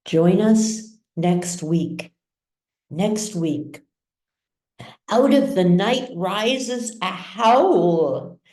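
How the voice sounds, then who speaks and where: A woman author reading her novel as dramatic literary narration, with measured, deliberate phrasing. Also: medium pitch, lively intonation, mellow. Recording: roomy echo, distant mic.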